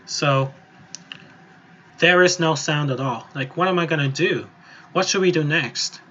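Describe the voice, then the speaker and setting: Guy doing a casual software walkthrough, kinda high bright voice, rambles thru the steps. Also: a little slow, dull audio. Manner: informal, rambling step-by-step explaining. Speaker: music software tutorial presenter. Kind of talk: casual, improvised screen-recorded tutorial. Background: voice-over on a screen recording.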